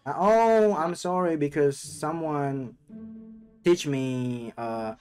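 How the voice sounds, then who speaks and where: A young man chatting with strangers on a video call, playful and halting, often repeating his words. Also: fairly high pitch, darkish tone, soft background music. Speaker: video chat prankster. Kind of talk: casual chat with strangers.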